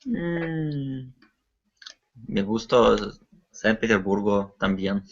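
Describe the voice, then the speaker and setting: Slow, hesitant man student. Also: lowish voice, expressive, muffled recording, a little hiss or hum. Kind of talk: answering in a class.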